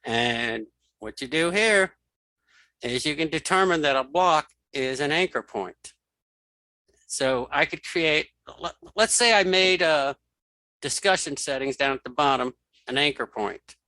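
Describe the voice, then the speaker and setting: Male tutorial host, mid-pitched and crisp-edged, casual step-by-step self-narration, close dry sound. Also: bright, forceful tone, dry recording, rough recording. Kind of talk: casual spoken software tutorial.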